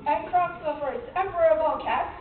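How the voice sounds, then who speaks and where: A woman's voice: high-pitched, a little fast, recorded from a distance, slight background noise, lightly acted. A woman acting as the wry, grandiose narrator of a melodramatic comic radio serial. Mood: wry, grand. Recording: echoey, tinny live hall with crowd.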